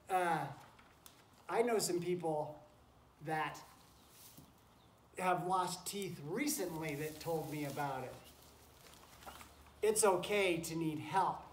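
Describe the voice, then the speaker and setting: A man reading a picture book aloud to children like a teacher, chatting encouragingly and breaking off for asides to the animals around him. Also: fairly high pitch. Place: wooden barn pen with straw, among goats and chickens. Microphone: camera a few feet away. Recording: roomy, noisy barn, distant camera mic.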